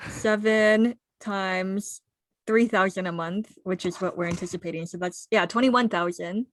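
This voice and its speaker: A woman's voice: mid-range voice, measured pace, no echo. A woman in her twenties with an American accent, co-hosting a podcast in an informal, upbeat, chatty way. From United States. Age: 20s. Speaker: podcast co-host. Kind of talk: casual chat.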